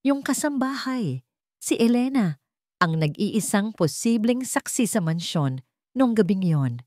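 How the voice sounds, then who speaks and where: A woman's voice: medium pitch, sing-song, measured pace, a little mellow, no echo, clean studio sound, pitched above the performer's own voice. A woman voicing a grave, urgent investigator with a Filipino accent reporting to a superior in a story drama.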